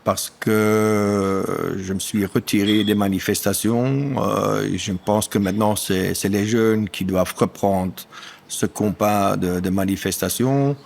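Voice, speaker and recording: A man in his sixties, a dairy farmer from German-speaking Belgium with a German accent, speaking French as a second language, haltingly searching for words in a conversational podcast interview. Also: on the low side, steady tone, gravelly, a little mellow, slight background noise. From German-speaking eastern Belgium.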